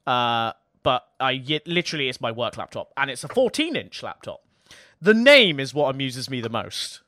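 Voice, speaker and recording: A man's voice: medium pitch, big pitch swings, moderate pace, pushed and bright, dry recording, studio quality. A young British man chatting casually about tech as a podcast co-host, jokey and self-deprecating, his voice a little strained. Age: young adult. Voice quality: slightly worn, tired voice.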